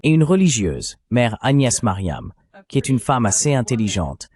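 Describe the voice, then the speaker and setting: A man's voice: medium pitch, very animated, normal speed, darkish tone, dry recording. A man speaking as a dubbed interview guest, recounting events at length with indignation.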